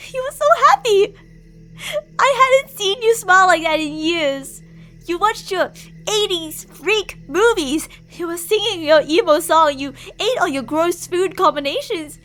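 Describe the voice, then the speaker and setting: A woman's voice: very high-pitched, animated, measured pace, a bit bright, exaggerated voice. A woman playing an obsessive, delusional young female stalker and former bully in an ASMR roleplay, clingy and emotionally volatile. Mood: bitter, wounded. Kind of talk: ASMR roleplay, dramatic monologue to listener.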